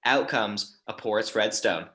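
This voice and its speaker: A man's voice: on the low side, unhurried, bright, forceful tone. A man narrating action descriptions in a scripted table read.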